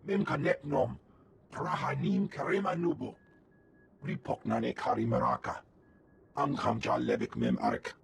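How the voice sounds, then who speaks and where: A man voicing a gravelly alien trader in a video game, speaking an invented tongue. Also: medium pitch, huge pitch swings, measured pace, a little hiss or hum, full character voice. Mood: matter-of-fact. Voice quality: gravelly, creaky. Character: guttural male alien trader.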